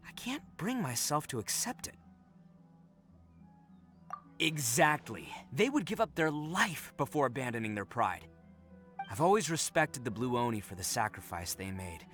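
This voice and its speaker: A man's voice: medium pitch, sing-song, a bit bright, soft background music. A man voicing a proud, earnest oni gang leader in a video game, telling things dramatically with heavy emphasis. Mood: earnest, resolute. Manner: dramatic, emphatic storytelling with sighs and drawn-out words. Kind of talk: animated video game voice acting.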